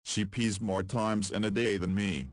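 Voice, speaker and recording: A man's voice: on the low side, steady tone, measured pace, dry recording, studio quality, natural voice. A man doing a cheerful old neighbor. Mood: joking. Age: older.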